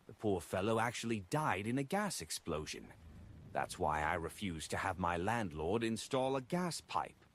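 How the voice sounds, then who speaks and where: A man acts out a drunken man in an audiobook story. He rambles and drones ruefully, with pitch that swings widely and often jumps up from a low base. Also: big pitch swings, moderate pace, fairly bright tone, slight background noise. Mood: rambling, rueful. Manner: rambling, droning. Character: drunken, sighing man reporting on a drowned friend. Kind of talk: audiobook character dialogue.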